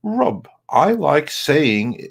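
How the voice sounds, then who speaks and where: A man hosting an online show in casual chat, speaking haltingly, repeating words as he goes and reading out messages. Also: on the high side, sing-song, vocal fry, husky.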